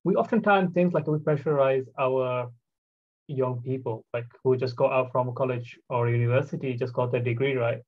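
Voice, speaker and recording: A man hosts a podcast in a casual, halting way, filling gaps with "like" and "uh" as he builds his point. His voice sits at a middle pitch. The sound is dull and lo-fi, as if it came over a remote call. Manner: searching, filler-heavy talk with many "like" and "uh". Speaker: podcast host.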